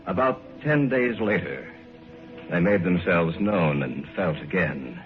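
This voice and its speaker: Deep narrator of a vintage radio chiller, measured telling, tinny sound, music under. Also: male voice, lively intonation. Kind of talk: 1950s radio drama narration.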